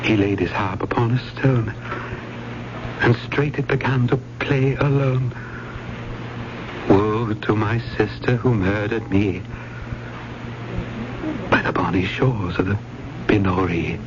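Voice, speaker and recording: An actor playing an obsessed, volatile college professor in old-time radio drama, erudite and courteous but halting when cornered. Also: male voice, on the low side. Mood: haunted recitation. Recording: noisy, dull old radio drama recording.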